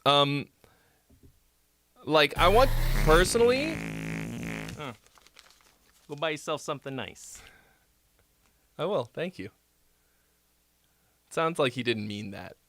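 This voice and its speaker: A man's voice: a little high, expressive, takes it slowly, pauses often, bright, forceful tone, slight background noise. A man with a nasal voice hosting a live song critique stream, rambling casually with lots of fillers and restarts. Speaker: music critique livestream host. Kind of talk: casual livestream song feedback.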